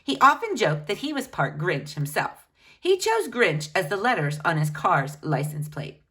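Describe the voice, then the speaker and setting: A woman's voice: lowish voice, very animated, normal speed, a bit bright. A woman reading a children's biography book aloud, speaking to children in a teacherly way with pointed emphasis. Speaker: read-aloud storyteller for children.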